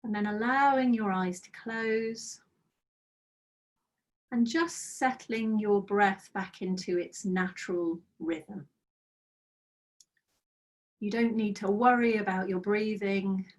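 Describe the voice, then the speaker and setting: A middle-aged woman leading a guided mindfulness practice over a video call, speaking slowly and soothingly with gentle pauses, her voice slightly croaky. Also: mid-range voice, fairly even intonation, far from the mic. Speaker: mindfulness trainer. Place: small office with bookshelves, indoors. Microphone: laptop webcam on a video call.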